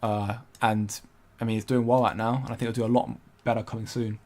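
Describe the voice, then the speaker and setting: A man's voice: on the low side, flat delivery, brisk, darkish tone. A young man hosting a casual crypto livestream, rambling and hesitant with frequent restarts while he reads out viewer comments. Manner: rambling, hesitant, many restarts, laughs often.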